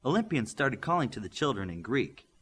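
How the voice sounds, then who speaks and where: A man's voice: mid-range voice, big pitch swings, a little fast, a bit bright, breathy edge. A man reading scripted fiction as an audiobook narrator.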